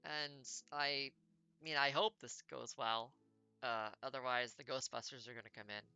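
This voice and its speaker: A woman's voice: rumbling low, fairly even intonation, sharp tone, clear, resonant tone, highs cut off, soft background music. Wry woman reading a text game. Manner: playful, wry, quick asides. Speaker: game streamer. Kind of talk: reading a text adventure aloud with jokey asides.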